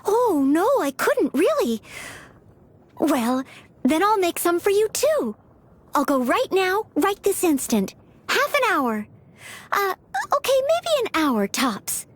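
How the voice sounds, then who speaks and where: A woman's voice: extremely high pitch, sing-song, slow pace, pauses often, exaggerated voice. A woman voicing a polite, self-effacing young maid and aspiring knight in a video game, eager to please. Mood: flustered, eager. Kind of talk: video game character voice lines.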